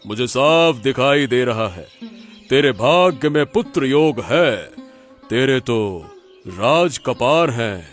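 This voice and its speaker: A man's voice: medium pitch, expressive, soft background music. A man playing an assured, fatherly holy man who sells remedies in a Hindi cartoon story. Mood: assured, pompous. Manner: slow, assured, fatherly address. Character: smooth-talking holy man selling miracle remedies.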